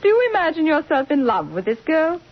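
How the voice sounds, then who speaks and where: A woman's voice: sits high, very animated, a little fast. A woman playing a domineering, suspicious middle-aged wife in an old-time radio drama, imperious and clipped. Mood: stern, accusing. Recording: dull lo-fi vintage radio recording.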